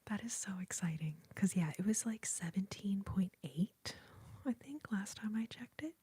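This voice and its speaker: A woman hosting an ASMR livestream, talking to viewers in a soft, heartfelt whisper into a close microphone. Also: mid-range voice, expressive, moderate pace, husky, no echo.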